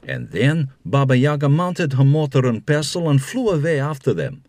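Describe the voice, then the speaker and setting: A man acting as the measured storyteller of a fairy-tale audio drama, reading scripted narration. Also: medium pitch, sing-song, darkish tone, dry recording. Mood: narrating.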